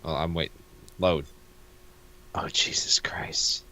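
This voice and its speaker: A man's voice: a bass voice, fairly even intonation, takes it slowly, bright, forceful tone, in a whisper. A man co-hosting a comic read-along, giving casual, mocking, profane commentary with an incredulous manner. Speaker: co-host reading a comic aloud.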